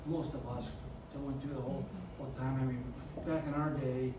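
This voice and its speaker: A man's voice: medium pitch, flat delivery, brisk. Middle-aged man, stutters and restarts a lot, personal talk; very echoey hall, mic set at a distance. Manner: stutters, many restarts and fillers. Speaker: speaker telling his story. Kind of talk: personal story talk to an audience. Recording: very echoey, far from the mic, noisy.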